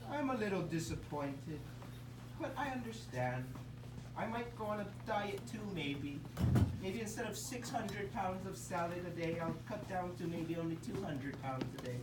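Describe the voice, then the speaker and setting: A cheerful, clumsy elephant with a voice that swoops up and down, as a woman acts him out. He talks in a lively, bouncy way, heard from afar in an echoey room. A loud background hiss runs under the voice. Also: on the low side, normal speed, lightly acted. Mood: disappointed. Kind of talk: amateur puppet show. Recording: echoey room from far off, noisy.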